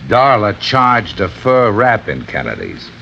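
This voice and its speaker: Muffled old radio; police captain, deep, measured voice, cautious manner. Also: male voice, wildly swooping pitch, creaky, bright, forceful tone, soft background music, full character voice. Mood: matter-of-fact. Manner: measured, matter-of-fact. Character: steady, careful male police captain, dryly cautious. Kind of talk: 1950s-60s radio drama acting. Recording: dull, hissy old radio recording.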